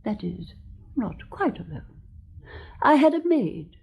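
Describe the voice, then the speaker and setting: A woman's voice: a little high, sing-song, takes it slowly, creaky, dull audio, slight background noise, heavily acted. An actress playing a dainty, genteel elderly widow who tells her story in earnest, precise sentences in a radio drama. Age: older. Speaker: witness.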